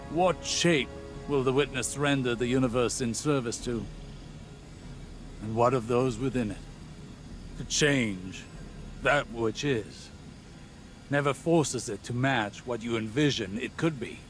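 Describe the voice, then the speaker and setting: A man's voice: mid-range voice, natural voice. A man voicing a grave hologram leader. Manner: slow, measured, solemn delivery. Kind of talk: video game voice acting.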